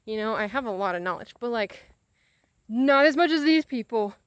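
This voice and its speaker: Young woman, fairly high-pitched, casual confessional chat, animated retelling, dry close sound. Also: normal speed, no room sound, lo-fi sound. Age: young adult. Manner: animated, acts out quoted conversations. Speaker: vlogger.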